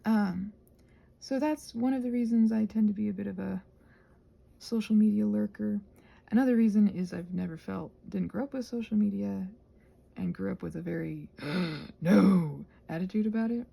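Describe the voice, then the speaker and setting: A woman in a casual, rambling ASMR vlog, soft-spoken and self-deprecating, thinking aloud in a meandering way and sometimes dropping toward a whisper. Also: mid-range voice, normal speed, vocal fry, slightly rough. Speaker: ASMR creator rambling while crafting.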